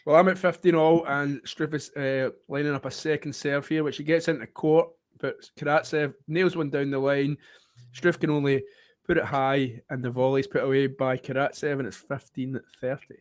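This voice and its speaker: A Scottish man with a mid-range voice calls tennis points live, moving between quick play-by-play and easy banter with his co-commentator. He sounds close and dry on the mic, with no room around him. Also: medium pitch, little pitch movement, no echo. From Scotland.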